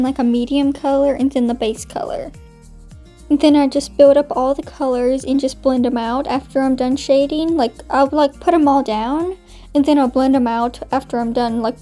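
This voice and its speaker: Chatty high-voiced young woman, slightly hoarse. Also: mellow. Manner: chatty, many "like" fillers. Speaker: art YouTuber. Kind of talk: casual voiceover narration.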